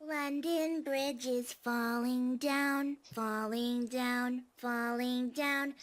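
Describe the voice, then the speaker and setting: A man voicing a small girl who sings a nursery tune slowly and softly, in a hushed, eerie sing-song. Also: very high-pitched, fairly even intonation, pitched above the performer's own voice, heavily acted.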